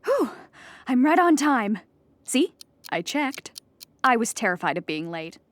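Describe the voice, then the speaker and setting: An actress playing an anxious, childlike clown who rambles earnestly in a theatrical audio comedy monologue. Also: female voice, a little high, sing-song, measured pace. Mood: flustered. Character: anxious, childlike clown pleading at an appeal hearing.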